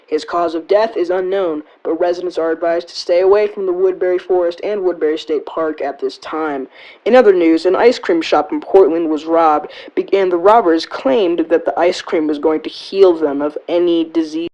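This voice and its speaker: A man's voice: a little high, normal speed, a little mellow, dull audio, close to an everyday voice. A man playing a formal news anchor, reading a scripted breaking-news bulletin. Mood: formal, matter-of-fact. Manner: formal newsreading.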